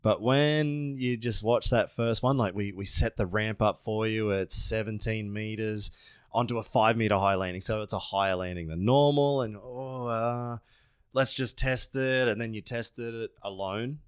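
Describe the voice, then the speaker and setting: A man in his thirties hosting a casual podcast interview, telling stories in a lively way. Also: fairly low pitch, normal speed, clear, resonant tone, dry recording, clean studio sound. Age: 30s.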